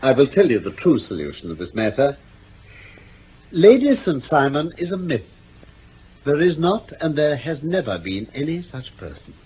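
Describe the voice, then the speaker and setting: An actor playing a keen, amused, self-assured English gentleman detective in mid-century radio drama style, urbane and precise. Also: a little high, very animated, moderate pace, husky, full character voice. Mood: urbane, knowing. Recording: muffled, noisy old radio recording.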